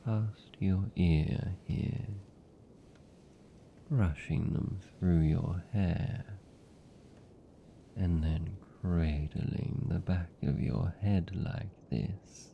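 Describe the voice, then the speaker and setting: Man voicing a very deep, slow British vampire. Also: lo-fi sound, a little hiss or hum, heavily acted. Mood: seductive, possessive. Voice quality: gravelly, dark, low. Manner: slow, hushed, deliberate, teasing. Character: seductive possessive male vampire captor, tender yet menacing. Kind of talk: intimate ASMR fantasy roleplay.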